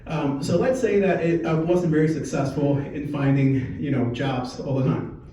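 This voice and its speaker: A man in his forties from Puerto Rico gives an informal presentation, telling a personal story in a conversational, halting way. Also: a little high, steady tone, mellow, recorded from a distance. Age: 40s. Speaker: speaker at a company sales meeting. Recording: echoey room from afar, muffled, faint noise.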